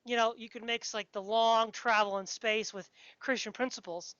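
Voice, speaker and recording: A woman with a fairly high voice hosts a casual podcast interview. She talks with geeky enthusiasm. The audio is close and dry but somewhat dull and lo-fi. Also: fairly even intonation, moderate pace, fairly bright tone, no echo.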